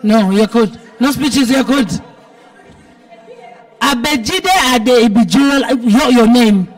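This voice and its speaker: A woman's voice: fairly high pitch, takes it slowly. A woman with a South African accent and a big, heavy-timbred voice, hosting a live event with chatty, teasing, informal banter. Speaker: event host. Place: event venue with a dinner audience. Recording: live event, distant from the mic.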